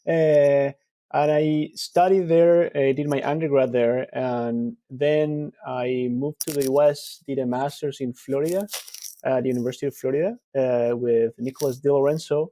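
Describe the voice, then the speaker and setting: Argentine-born man, mellow and dry. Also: mid-range voice, normal speed, no echo. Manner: relaxed, explanatory, with fillers. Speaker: guest researcher. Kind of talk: conversational interview answers.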